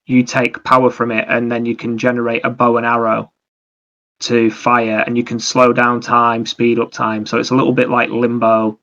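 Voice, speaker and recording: A man's voice: mid-range voice, completely flat delivery, moderate pace, pushed and bright, lo-fi sound. A man chatting casually as a podcast co-host about video games, explaining things in a rambling, anecdotal way.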